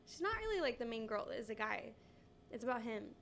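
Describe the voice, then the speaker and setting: A woman's voice: on the high side, sing-song, fairly quick, fairly bright tone, slight background noise. A young woman co-hosting a podcast, stuffy from a cold, chatting in a giggly, rambling way full of "like". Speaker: podcast co-host. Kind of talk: casual chat.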